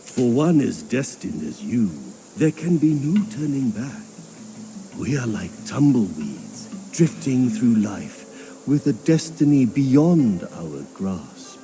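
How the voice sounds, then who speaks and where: A wise old mystic from a fantasy video game. He is solemn and cryptic, with slow, poetic phrasing that rises and falls widely. His voice is dark and full of creak. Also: mid-range voice, big pitch swings, slight background noise. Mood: grave, wistful. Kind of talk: fantasy game cutscene dialogue.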